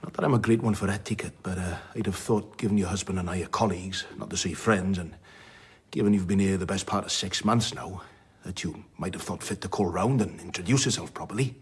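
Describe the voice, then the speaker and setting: An actor playing a sardonic, blunt, worldly doctor in a radio drama, wry and plain-spoken with a husky voice. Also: male voice, low-pitched, fairly quick. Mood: needling. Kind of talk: radio drama acting with narration.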